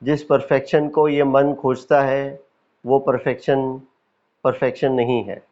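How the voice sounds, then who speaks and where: A man with an Indian accent leading a guided yoga nidra meditation as a teacher, calm and instructive, with deliberate pauses and repetition. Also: mid-range voice, lo-fi sound.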